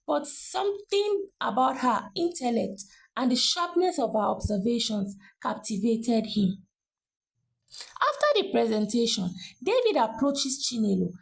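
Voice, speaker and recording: Female narrator, high lively voice with a creaky edge, for dramatic scripted storytelling. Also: low-quality recording.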